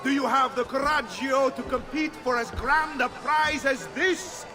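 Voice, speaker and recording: An actor playing a flamboyant, grandiose carnival announcer with an Italian accent, proclaiming in a drawn-out, theatrical way for a game cutscene. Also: male voice, very high-pitched, steady tone. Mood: rousing showmanship. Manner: proclaiming, drawn-out showman delivery. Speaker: games announcer. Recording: loud music and crowd behind. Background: music and crowd.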